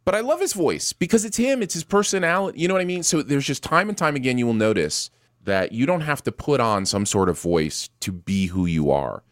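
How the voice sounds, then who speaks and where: A man's voice: mid-range voice, lively intonation, brisk, no echo, clean studio sound. A man co-hosting a podcast and live stream who rambles a little, repeating words and using many fillers. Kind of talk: casual chat.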